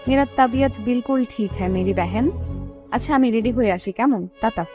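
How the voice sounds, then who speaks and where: A woman acts out an emotional, lovesick young woman in an audio story. Her voice is high and girlish, expressive and playful, with music clearly heard behind it. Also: a little fast, lightly acted. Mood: playful, breezy. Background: prominent music.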